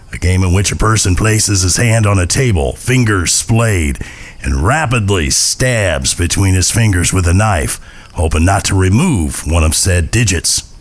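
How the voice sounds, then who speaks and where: A man playing a grave, wry Old West storyteller, narrating an audio drama in a folksy voice. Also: lowish voice. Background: guitar music.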